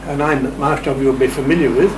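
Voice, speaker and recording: A man's voice: mid-range voice, steady tone, fast talker. An Australian man being interviewed, chatting and telling stories in a discursive, unscripted way. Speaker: interview guest. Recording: roomy, distant mic, loud room hum.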